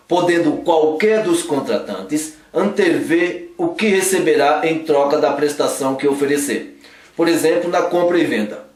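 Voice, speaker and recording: A man from the Northeast, teaching law in a playful, joking way and teasing a sidekick. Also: fairly high pitch. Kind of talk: joking casual law lesson. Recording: roomy echo, a bit far from the mic.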